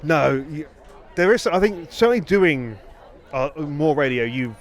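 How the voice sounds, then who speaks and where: A man's voice: a little high, measured pace. A man hosting a podcast in rambling, bantering casual chat. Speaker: podcast host.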